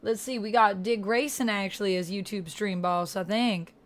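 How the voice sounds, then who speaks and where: A woman's voice: medium pitch, steady tone, moderate pace. A woman hosting a livestream, chatting casually with viewers in a put-on Georgia-style Southern US accent. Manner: chatty, addresses viewers by name. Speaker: livestream host.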